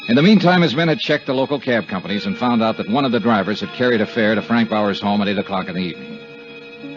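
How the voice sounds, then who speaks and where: A man's voice: lowish voice, close to an everyday voice. A man playing a tough, terse American insurance investigator in a 1950s radio detective drama. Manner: terse, matter-of-fact. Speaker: actor playing lead investigator and narrator. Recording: muffled 1950s radio recording with music.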